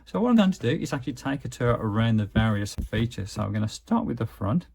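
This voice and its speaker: A man's voice: mid-range voice, sing-song, fast, gravelly, darkish tone, husky. A man giving a casual, methodical product walkthrough as a gadget reviewer. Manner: methodical, explanatory.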